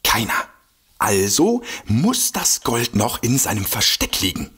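An actor playing an excitable, boastful detective with a husky voice in a comedy mystery audio drama. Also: male voice, medium pitch, measured pace, full character voice. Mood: eager, insistent. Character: excitable, boastful male detective eager to explain. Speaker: amateur detective.

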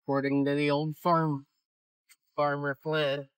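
A man's voice: a little high, monotone, measured pace, smooth, no room sound. A man in his forties making dry jokes while driving a utility vehicle outdoors, picked up on a passenger's phone. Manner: joking, dry quips. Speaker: homesteader, co-host. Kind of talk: casual banter. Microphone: phone held by a passenger.

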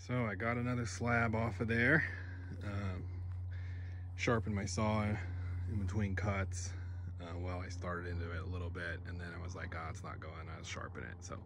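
A young man casually narrating his backyard work for his channel, relaxed and rambling with lots of ums. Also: low-pitched, moderate pace, slight background noise. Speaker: video creator. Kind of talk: casual narration while working. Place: outdoor backyard.

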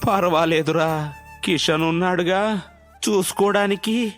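A man voicing an ailing, gentle old village father in a story, mild and resigned. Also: high voice, measured pace. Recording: music under the voice.

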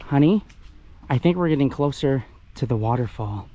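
Cheerful young dad narrating a trail walk. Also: male voice, medium pitch, lively intonation, moderate pace, mellow, highs cut off. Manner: cheerful, chatty, narrating to camera. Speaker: dad filming a family walk. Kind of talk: casual chat. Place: outdoor wooded nature trail. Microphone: action camera mic.

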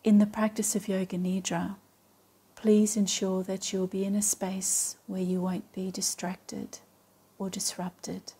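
A woman with a soft, breathy voice leading a guided meditation in a calm, measured way with long pauses. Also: mid-range voice. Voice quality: soft, breathy, partly whispery. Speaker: yoga nidra meditation guide. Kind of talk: guided meditation, slow and soothing instruction.

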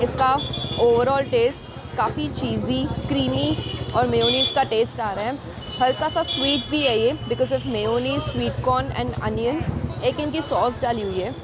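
A woman's voice: a little high, background noise. Chatty woman tasting street food. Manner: chatty, mixing Hindi and English, tasting on camera. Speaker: food vlogger. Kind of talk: casual food review vlog. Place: busy indoor street food shops.

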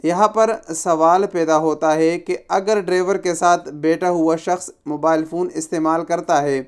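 A man hosting a news channel, calmly explaining a topic in a voice-over with occasional small restarts. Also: a little high, clean tone. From South Asia.

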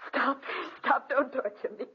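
Proud, anguished young blind woman: dramatic, guarded, husky delivery, vintage radio melodrama. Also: high-pitched, fairly even intonation, a little slow, heavily acted. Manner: dramatic, defensive. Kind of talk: 1940s radio acting. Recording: muffled vintage 1940s radio recording.

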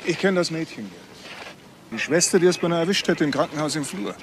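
A man's voice: on the high side, lively intonation, fairly quick, natural voice. Dry police detective played by a man, noisy background. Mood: businesslike. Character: male police detective, matter-of-fact, dry. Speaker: actor. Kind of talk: German crime radio play dialogue. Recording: clear background noise.

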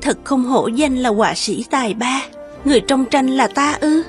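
Casting: woman to play devoted, yearning young lady for animated folktale, high voice, gentle deferential delivery. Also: moderate pace, soft background music, lightly acted. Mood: pleased.

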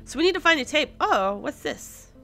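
A woman's voice: sits high, animated, normal speed, creaky, fairly bright tone, faint music behind. A woman giving casual let's play commentary as host, thinking aloud and exclaiming in surprise as she goes. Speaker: host of a gaming series.

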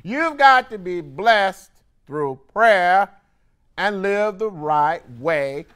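Slow, smooth high-voiced courtroom judge. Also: male voice, lively intonation, fairly bright tone, exaggerated voice. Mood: chiding. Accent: American. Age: middle-aged. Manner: slow, admonishing. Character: folksy, chiding male courtroom judge. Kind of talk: comedic courtroom show acting. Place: courtroom, seated at the bench.

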